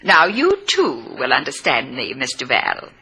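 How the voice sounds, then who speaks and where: A woman's voice: extremely low pitch, wildly swooping pitch, unhurried, bright, forceful tone, a little hiss or hum, heavily acted. A woman playing a sweet-talking, ruthless tourist murderess in an old radio drama, with a genteel, coy and menacing manner. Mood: coolly menacing. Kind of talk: 1940s-50s radio drama acting.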